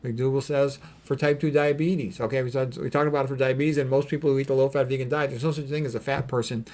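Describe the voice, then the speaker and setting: A man's voice: mid-range voice, steady tone, quick pace, darkish tone. A man giving an informal lecture in a blunt, opinionated, emphatic way, talking conversationally with rhetorical questions and frequent check-ins. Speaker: physician giving health commentary. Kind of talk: informal lecture, reading quotes and commenting.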